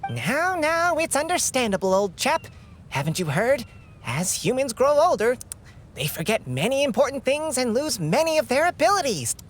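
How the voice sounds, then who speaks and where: A woman's voice: high voice, very animated, normal speed, faint music behind. A woman voicing a chatty, genteel, old-fashioned and indignant squirrel in a video game. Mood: genteel, sociable. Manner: genteel storytelling, quaint turns of phrase. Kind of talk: video game character acting.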